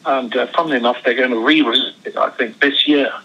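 Older guy from switzerland, gravelly and husky, bright edge, rambles on a phone line. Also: medium pitch, quick pace. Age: 70s. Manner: rambling, with many restarts. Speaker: guest actor. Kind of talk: casual interview chat.

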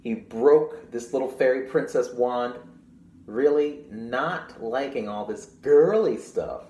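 A young man reading a picture book aloud to children, pausing to explain things simply and ask questions. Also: mid-range voice, dark tone. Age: young adult. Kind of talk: storytime read-aloud with explanatory asides. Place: small room at home, indoors. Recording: roomy echo with clear background noise.

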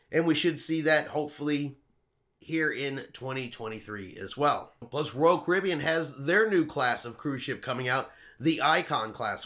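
A man's voice: fairly high pitch, a bit bright. A middle-aged man hosting a cruise news vlog, chatting upbeat and casual to the camera from a room at home. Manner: upbeat, chatty, talks with his hands. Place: home room with shelves and a wall map, indoors.

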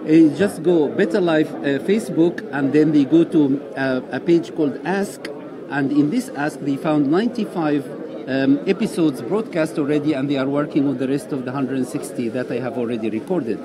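A man's voice: a little high, normal speed. A man in his sixties with an Egyptian accent, a native Arabic speaker, answering interview questions eagerly in slightly broken English in a busy lobby. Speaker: guest. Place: busy indoor conference lobby. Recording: crowd chatter behind.

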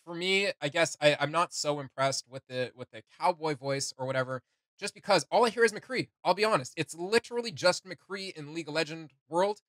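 A young adult man giving casual, animated reaction commentary as a host. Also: on the high side, fairly quick, no echo. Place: small indoor room with gaming setup.

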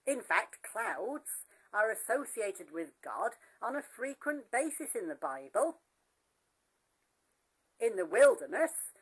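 A woman giving a spoof sermon as a preacher, with a deadpan, mock-solemn pulpit delivery. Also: medium pitch, low-quality recording.

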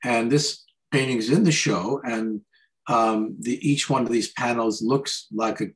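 An older man, an artist, talking informally and haltingly through slides of paintings on a video call. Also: mid-range voice, steady tone, low-quality recording. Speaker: artist presenting his paintings. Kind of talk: informal slide commentary on art.

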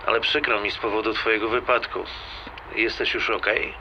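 An actor playing a cheerful, reassuring brother-in-law on a phone call in an audio drama. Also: male voice, lowish voice, level tone, brisk, bright, forceful tone, a little hiss or hum.

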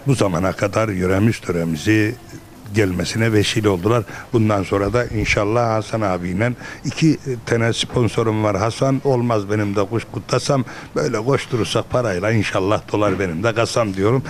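A man's voice: on the low side, a little fast, a little hiss or hum. A middle-aged man presenting a local television program as host, speaking into a handheld microphone. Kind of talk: presenting interview with rhyming sponsor thanks. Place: busy restaurant dining room.

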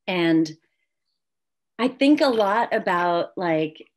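A woman in her thirties with a fairly low voice, interviewing on a video call from a room indoors. She talks in a reflective, conversational way, with informal phrasing peppered with "like". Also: expressive, extremely slow.

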